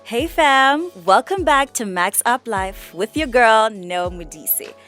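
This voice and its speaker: Young botswana woman in a radio drama, higher voice, earnest and ambitious niece, music behind her. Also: slow. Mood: bright, presenting. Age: young adult. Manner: animated, earnest, persuasive. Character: young, ambitious, organised niece starting a catering business. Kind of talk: radio drama acting, code-switching dialogue.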